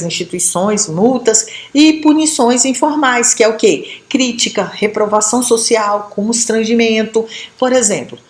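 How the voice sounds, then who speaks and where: A woman from Brazil teaches a sociology lesson in a fairly high voice. She lays out each idea patiently, often pausing to check in with quick tag questions. The room around her gives the voice a noticeable echo. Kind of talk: explanatory classroom lesson.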